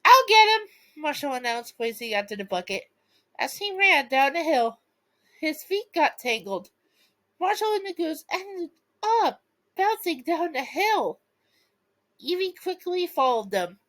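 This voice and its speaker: A woman reading a bedtime story aloud and chatting casually to viewers, with a playful, giggly, expressive manner. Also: high voice, measured pace, low-quality recording. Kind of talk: storybook read-aloud with casual asides to fans.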